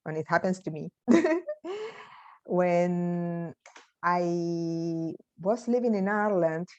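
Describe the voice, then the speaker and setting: Latin-accented woman storyteller, mellow and muffled. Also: fairly low pitch, expressive, clean tone. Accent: Latin American. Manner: halting, non-native English with small grammar slips. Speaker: speaker at a storytelling talk. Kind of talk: personal anecdote and advice to an audience. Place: online video meeting.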